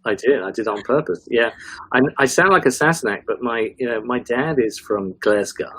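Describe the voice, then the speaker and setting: A man's voice: medium pitch, moderate pace, bright, forceful tone, rough recording. A man with an English accent with some northern vowels, chatting casually as a guest and wandering through nostalgic stories with many restarts. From grew up in a British Forces family in Germany. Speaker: podcast guest. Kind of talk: casual interview chat.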